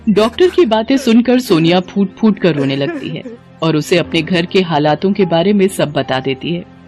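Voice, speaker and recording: A woman's voice: fairly low pitch, expressive, normal speed, a little mellow. A woman narrating a scripted moral tale in a dramatic storytelling style. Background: background music.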